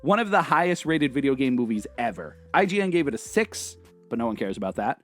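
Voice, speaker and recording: A man's voice: medium pitch, big pitch swings, a little fast, a bit bright, faint music behind. A man co-hosting a casual movie review chat, speaking in an enthusiastic, emphatic way. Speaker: video show host.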